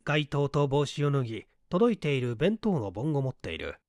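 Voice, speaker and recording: A man's voice: medium pitch, a little mellow, no echo, studio quality. A man reading a story aloud as scripted audiobook narration. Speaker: audiobook reader.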